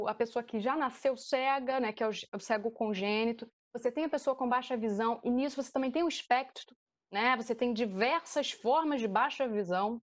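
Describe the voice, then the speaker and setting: A woman with a Brazilian accent, speaking as a theatre director and guest, explaining things off the cuff in an online debate. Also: on the high side.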